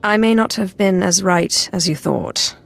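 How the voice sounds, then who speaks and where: An actress playing a cool, commanding Sith Lord in a video game, with a dry, imperious manner. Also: female voice, medium pitch, a little slow, gravelly.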